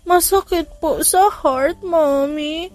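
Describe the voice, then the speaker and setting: A woman's voice: extremely high pitch, slow, a little mellow, pitched above the performer's own voice. A woman voicing a sweet, wistful little boy who speaks in a childlike, polite, pleading way. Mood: wistful, hurt.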